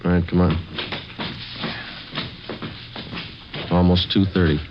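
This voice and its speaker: Deep-voiced, deadpan radio police detective played by a man. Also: fast, background noise, natural voice. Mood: terse. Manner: terse, deadpan. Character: stoic, matter-of-fact male police detective narrating his case. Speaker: lead actor and narrator. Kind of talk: 1950s radio police drama acting, clipped narration. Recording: dull vintage radio recording with music.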